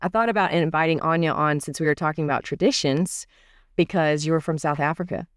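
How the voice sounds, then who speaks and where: Young male podcast host, casual and friendly, smooth clear voice, dry close mic. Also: on the high side, fairly quick, dry recording. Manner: relaxed, friendly, informal.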